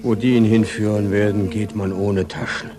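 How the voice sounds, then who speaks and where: An actor playing a grave, devoted follower in a 1940s German radio drama. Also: male voice, lowish voice, level tone, normal speed, a crowd in the background, natural voice. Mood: grim.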